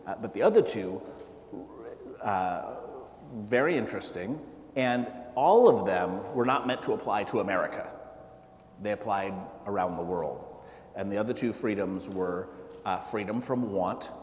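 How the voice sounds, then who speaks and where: A man with a fairly low voice talks to a gathered group, stopping often with fillers and restarts. His words ring in an echoey hall with a long tail of reverb. A faint hiss of noise sits under the recording. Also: animated, a little mellow. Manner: halting, many fillers and restarts. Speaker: political candidate. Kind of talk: town hall talk.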